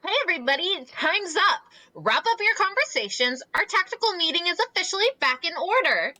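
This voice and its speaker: Casting: woman playing officious young commander for a comic, high-pitched, animated, brisk and bossy. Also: dry recording, full character voice, low-quality recording. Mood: commanding. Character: brisk, bossy young military-minded leader. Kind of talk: dramatic reading of a comic.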